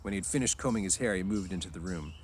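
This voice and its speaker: A man's voice: deep voice, very fast, slight background noise. A man narrating a story aloud in an amateur style, sometimes stumbling and correcting his words. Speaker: reader.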